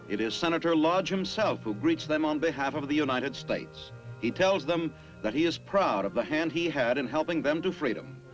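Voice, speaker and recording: A man's voice: a little high, low-quality recording. A man giving scripted narration for an army documentary in an earnest, declamatory way. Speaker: newsreel narrator.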